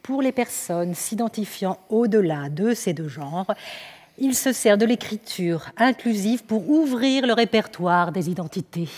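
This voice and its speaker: A woman's voice: medium pitch, a little mellow, long reverb tail. A woman performing a declamatory stage text with emphatic, rhythmic recitation.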